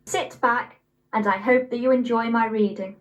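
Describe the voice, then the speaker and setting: A young woman of eighteen, reading scripted poetry in a measured, deliberate way. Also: a little high, fairly even intonation, rough recording. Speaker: poetry reader.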